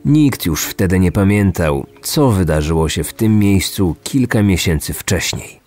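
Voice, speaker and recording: A man's voice: sits low, expressive, a little slow, a little mellow. A man in his thirties reading a scripted true crime podcast narration as its host. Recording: music bed under the voice. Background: background music.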